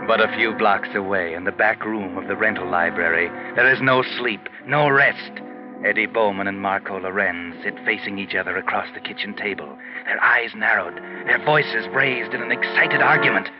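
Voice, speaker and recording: An actor playing a wry, ominous storyteller, narrating a 1940s radio mystery in a measured way. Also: male voice, on the low side, over music, natural voice. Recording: dull 1940s radio recording.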